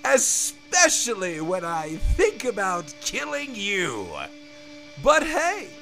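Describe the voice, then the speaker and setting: Crazy gleeful comic villain, sharp bright voice, swooping all over, slow mocking singsong. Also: male voice, high voice, sing-song, soft background music, exaggerated voice. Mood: gleeful, taunting. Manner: mocking, sing-song, drawn-out. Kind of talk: comic-book audio drama.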